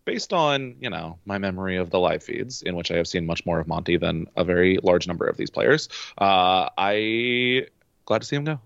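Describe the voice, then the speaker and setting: A man's voice: sits low, lively intonation, normal speed, gravelly, fairly bright tone, no echo, muffled recording. A man co-hosting a podcast in casual recap chat, talking animatedly and laughing often. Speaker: podcast co-host.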